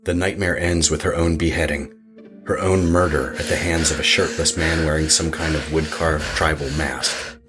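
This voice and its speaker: A man giving scripted narration of a horror story in an ominous, measured manner. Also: extremely low pitch, steady tone, music in the background.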